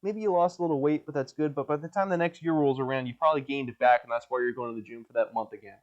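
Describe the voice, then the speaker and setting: A young man with a nasal voice rambles alone into the mic, deadpan and crude, stumbling over his words. He sounds dry-mouthed and a bit coughy, like he's been sweating in a hot basement all day. His pitch sits right in the middle for a man. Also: racing, dull audio. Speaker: podcast host. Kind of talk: solo rambling podcast monologue.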